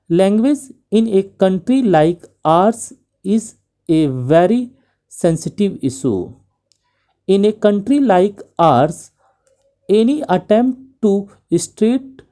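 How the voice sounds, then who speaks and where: Slow, deliberate Indian-accented woman dictating. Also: on the low side, warm tone. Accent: Indian English. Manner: deliberate phrase-by-phrase delivery with pauses. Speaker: shorthand dictation reader. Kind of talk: slow measured dictation of a speech.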